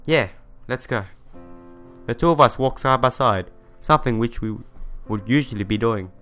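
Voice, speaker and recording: A man's voice: mid-range voice, moderate pace, a bit bright. A man reading a visual novel aloud and adding casual commentary, as a let's player does. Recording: music playing behind the voice. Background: game music.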